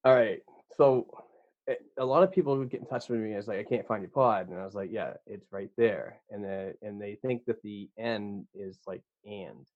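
A man with a Boston accent, a guest on a podcast who rambles eagerly with lots of "uh"s. His voice sits on the low side and comes through a dry, slightly dull remote line. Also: moderate pace, no room sound. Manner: enthusiastic, rambling, filler-heavy. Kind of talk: casual podcast interview.

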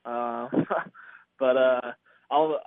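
A man's voice: medium pitch, extremely slow, no room sound. A man in his twenties from California, giving a casual, laid-back interview as a guest over the phone. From California, United States. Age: 20s. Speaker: baseball player, interview guest. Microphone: phone call. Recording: tinny narrow phone line.

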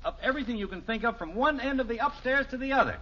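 Blustering, animated radio sitcom dad. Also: male voice, extremely high pitch, racing, slight background noise, heavily acted. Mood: indignant, blustering. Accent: American. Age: middle-aged. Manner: blustering, emphatic. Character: exasperated, blustering middle-aged suburban father, smugly confident. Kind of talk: 1950s radio sitcom acting. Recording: muffled vintage 1950s radio recording.

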